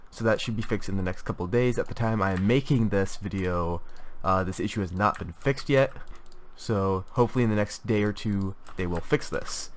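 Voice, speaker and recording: A young man with a stuffy voice from a cold, reviewing gaming gear in a casual, rambling way with many fillers and restarts. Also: fairly low pitch. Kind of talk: casual product review talk.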